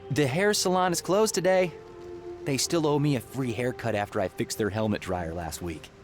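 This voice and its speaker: A man's voice: medium pitch, normal speed, soft background music, natural voice. An actor playing an earnest, slightly nervous young male inventor in an adventure game, boyish and often musing aloud. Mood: wry. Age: young adult.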